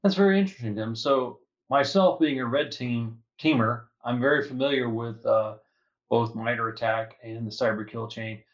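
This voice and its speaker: A male host leads an interview with bright, scripted introductions and questions. His voice sits at a middle pitch for a man. The audio is a little dull and lo-fi. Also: moderate pace. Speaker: interview host, security advisor. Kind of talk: hosted interview.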